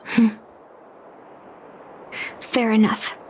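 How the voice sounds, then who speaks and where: An actress voicing a stubborn young swordswoman under suspicion in a video game, hesitant and deliberate. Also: slight background noise. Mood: guarded. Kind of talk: acted video game dialogue.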